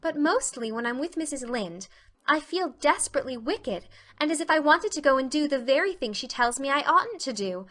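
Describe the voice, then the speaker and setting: Talkative earnest teen girl, adult actress, bright and forceful, dramatic and full of feeling, for storybook drama. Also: high voice, big pitch swings, a little fast, pitched above the performer's own voice. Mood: earnest confession. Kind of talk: dramatic reading of a classic novel.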